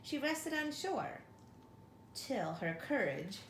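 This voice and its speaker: Slow-paced woman reading to children, roomy sound. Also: sits high. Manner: expressive, addressing children with questions. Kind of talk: read-aloud picture book narration for young children. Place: living room at home, indoors. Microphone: camera or phone a short distance away. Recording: roomy home recording with faint noise.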